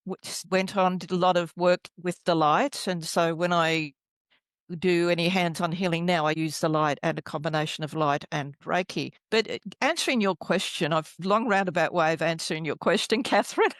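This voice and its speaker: Brief: middle-aged woman, lowish voice, soft and rambling, dry close sound. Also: normal speed, no echo. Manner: soft-spoken, searching for words, rambling. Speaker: healer guest. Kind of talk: reflective storytelling interview.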